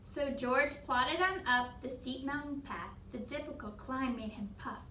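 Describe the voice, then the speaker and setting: A woman reading a picture book aloud to young children in an animated storytelling style. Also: sits high, measured pace. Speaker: children's story reader, teacher. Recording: echoey room, distant mic, faint noise.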